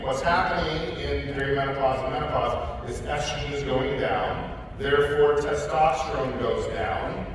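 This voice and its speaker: A confident man explains things plainly and speaks directly to his listeners. He sounds distant from the microphone, and his voice rings around a large hall with long reverb. Noise hums behind him. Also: on the high side. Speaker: panel speaker on health. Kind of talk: explanatory panel talk. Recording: echoey hall, speaker far from mic, noisy.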